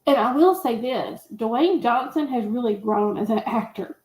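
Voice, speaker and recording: Higher-voiced woman in an echoey room. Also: normal speed, a little mellow. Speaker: movie podcast co-host. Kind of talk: casual movie discussion.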